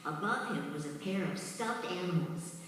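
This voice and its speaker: A woman's voice: on the low side, expressive, normal speed, fairly bright tone. A woman reading scripted children's storybook narration as the narrator of a read-along. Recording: very echoey distant hall, music underneath.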